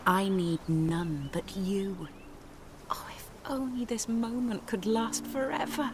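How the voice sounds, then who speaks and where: An actress playing a devoted young noblewoman bride in a fantasy game, gentle, romantic and formal. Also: medium pitch, fairly even intonation, slow, noisy background. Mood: tender. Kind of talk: dramatic video game acting.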